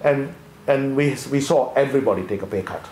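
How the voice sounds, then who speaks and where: A man from Sri Lanka talks in a measured, thoughtful way, stopping and restarting his sentences as he goes. His voice sits in a middle range. The room is echoey and there is a faint hum of ambience behind him. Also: mid-range voice, a little mellow. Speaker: co-host, former accountant. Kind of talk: conversational leadership discussion.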